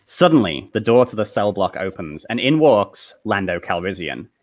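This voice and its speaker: A man's voice: lowish voice, big pitch swings, moderate pace. A man narrating stage directions at a comedic table read of a film script.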